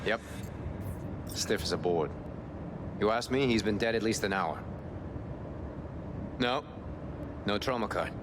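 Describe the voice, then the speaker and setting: An actor playing a callous, matter-of-fact fixer in a video game, talking in a blunt, offhand way. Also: male voice, fairly low pitch, slow pace, pauses often. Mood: offhand, businesslike. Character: callous, matter-of-fact male fixer arranging a cover-up by phone. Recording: clear background noise.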